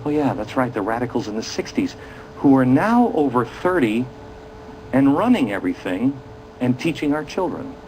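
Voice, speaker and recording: A man's voice: mid-range voice, sing-song, normal speed, a little mellow, slight background noise, low-quality recording. A man hosting a political commentary show, talking to viewers in a dramatic, indignant and sarcastic manner.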